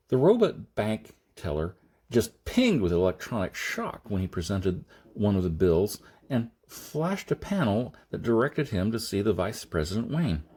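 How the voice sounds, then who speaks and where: A man reading a science fiction novel aloud as scripted narration, with a halting delivery that sometimes stumbles. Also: lowish voice, mellow, breathy edge. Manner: halting, stumbles and rereads phrases. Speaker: audiobook reader.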